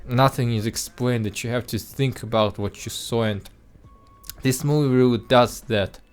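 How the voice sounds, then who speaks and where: A man's voice: medium pitch, normal speed, clean tone, soft background music. A man speaking English as a second language with an accent listeners have taken for Russian, talking through a film review in an earnest way, with frequent restarts and filler words. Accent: Russian-sounding non-native English. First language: not English. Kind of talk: casual spoken film review.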